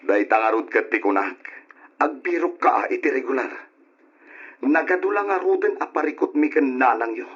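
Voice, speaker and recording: A man's voice: medium pitch, animated, normal speed, fairly bright tone, slightly rough, lo-fi sound. A man acting a stern, exasperated family father burdened by money worries in an old Ilocano radio drama. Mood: exasperated scolding.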